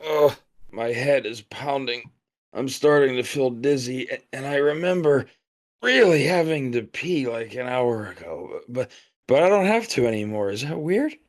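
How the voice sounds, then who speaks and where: A man's voice: mid-range voice, animated, normal speed, lightly acted. A man playing a woozy, drunk and anxious newspaperman in an audio play, stammering and muddled. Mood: queasy, anxious.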